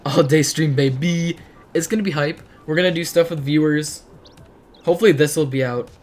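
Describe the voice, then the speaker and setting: A teenage boy, hoarse from hours of streaming, chatting casually and rambling while reacting to his chat in a dim bedroom. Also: fairly high pitch, fairly even intonation, moderate pace, a little mellow.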